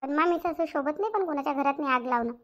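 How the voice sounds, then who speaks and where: A woman's voice: high voice, animated, fast. A woman voicing an outspoken, grumbling young daughter-in-law in a Marathi cartoon, with a rural Varhadi accent. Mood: resentful. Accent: rural Maharashtra, Varhadi Marathi. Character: indignant young village daughter-in-law, outspoken, complaining, caring. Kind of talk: domestic cartoon story dialogue.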